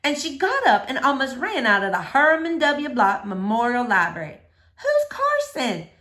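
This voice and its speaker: Lively, high-voiced woman reading a storybook. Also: normal speed. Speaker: storybook reader. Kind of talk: expressive children's book narration.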